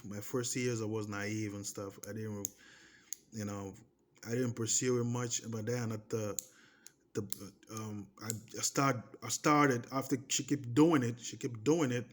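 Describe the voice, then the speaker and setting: A man's voice: mid-range voice, fairly even intonation, measured pace. Portuguese-accented man, emphatic and repetitive. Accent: Portuguese-accented English. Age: 30s. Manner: emphatic and repetitive, restarting phrases and pressing points with "okay?". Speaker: vlogger. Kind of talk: casual personal monologue to camera.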